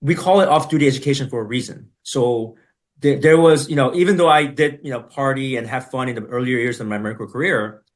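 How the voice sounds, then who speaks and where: Middle-aged man, podcast guest, relaxed storytelling, lots of fillers, mid-pitched voice. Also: fast talker. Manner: relaxed, many fillers and tag questions. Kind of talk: conversational storytelling.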